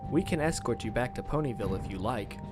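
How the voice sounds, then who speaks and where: A man's voice: medium pitch, expressive, fast talker, music in the background, lightly acted. A man playing a polite, formal police officer pony in a fan fiction audio drama. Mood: polite, official.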